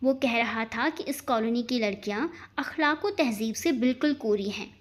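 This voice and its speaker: A high-pitched, stern middle-aged aunt from an audio storybook, acted out by a woman narrator. She scolds with biting sarcasm and a sharp, no-nonsense temper. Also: moderate pace, close to an everyday voice. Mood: taunting.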